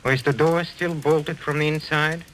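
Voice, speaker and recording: A man's voice: fairly high pitch, fairly even intonation, normal speed, fairly bright tone, close to an everyday voice. A man acting in an old-time radio mystery as a courteous, faintly mocking medium, speaking. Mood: grave, composed. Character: suave, theatrical Frenchman, a séance medium under suspicion. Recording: noisy old radio recording.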